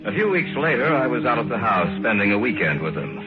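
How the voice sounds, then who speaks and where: Earnest young hero, lowish voice, highly dramatic inflection, vintage radio horror. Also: male voice, big pitch swings. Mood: sombre narration. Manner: sombre, measured, dramatic. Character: earnest young fiancé narrating his own horror tale. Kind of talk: 1950s radio drama narration and dialogue. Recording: dull vintage radio recording, loud music.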